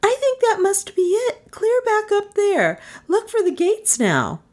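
A woman voicing an impish, sharp-tongued young woman who is easily alarmed, in audiobook dialogue with a teasing, exclamatory manner. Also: very high voice, huge pitch swings, a little slow, voice pushed up, heavily acted. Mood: alarmed, shrill.

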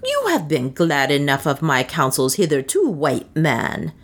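A woman's voice: mid-range voice, wildly swooping pitch, extremely slow, a little mellow, pitched above the performer's own voice, exaggerated voice. A woman acting a bitter, accusing serving woman. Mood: furious, resentful. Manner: satirical, glaring, accusing. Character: bitter, sardonic, resentful native serving woman. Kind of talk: audiobook character acting.

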